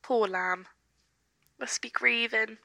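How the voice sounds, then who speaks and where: A woman's voice: on the high side, steady tone, slow pace, bright, forceful tone, no echo, exaggerated voice. A woman playing an indignant, fawning party loyalist gossiping in a satirical radio play.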